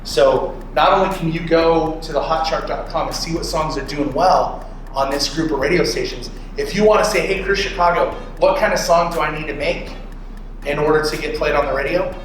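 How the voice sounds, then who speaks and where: A man's voice: fairly high pitch, normal speed, soft background music. A man in his late thirties giving an informal instructional talk to a group, explaining conversationally and checking in with short questions. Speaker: radio programmer, guest speaker. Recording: echoey room, distant mic, loud background noise.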